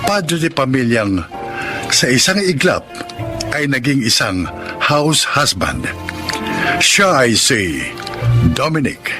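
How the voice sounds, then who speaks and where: A male announcer introduces a radio drama in a formal, theatrical way. His delivery is very slow and richly inflected. Music plays clearly behind him. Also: medium pitch, sing-song. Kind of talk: scripted dramatic announcing with credits. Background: clear music bed.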